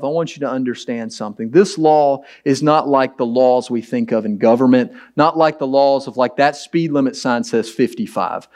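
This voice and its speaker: A hoarse man with a raspy, deep voice, preaching a conversational and earnest sermon as pastor of his church. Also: a little mellow. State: hoarse from preaching late the night before.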